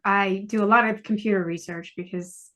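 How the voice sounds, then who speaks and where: A woman's voice: medium pitch, steady tone, moderate pace, pushed and bright. An American woman, a novelist, talking casually as a guest on a podcast. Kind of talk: casual interview chat.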